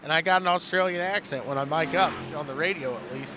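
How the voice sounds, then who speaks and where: A man calling a live dirt-track race as the track announcer, animated and repeating phrases for emphasis. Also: a little high, quick pace, music in the background. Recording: loud engine and track noise. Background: race cars and outdoor track noise.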